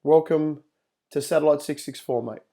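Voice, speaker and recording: A male podcast host talks in a relaxed, rambling way over a video call, full of fillers and restarts. His voice has a gravelly edge and a mellow, dark tone. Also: on the high side, normal speed. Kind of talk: casual chat.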